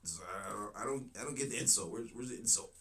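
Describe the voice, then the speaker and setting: A man's voice: fairly low pitch, fast, pushed and bright, reverberant. A man co-hosting with casual joking commentary, laughing and mimicking voices in quotes.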